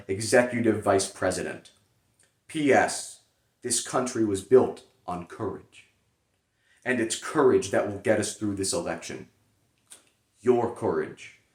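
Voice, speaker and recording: A man giving a solemn, theatrical dramatic reading with emphatic pauses. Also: on the low side. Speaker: reader performing a letter. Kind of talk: dramatic reading of a political fundraising letter. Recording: echoey room.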